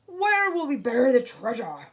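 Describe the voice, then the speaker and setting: A woman's voice: high-pitched, wildly swooping pitch, moderate pace, pitched above the performer's own voice. A woman voicing a bossy, hearty male pirate captain from a storybook, hollering out in a raised voice. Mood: alarmed. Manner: bellowing, hollering commands. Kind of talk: storybook character voice.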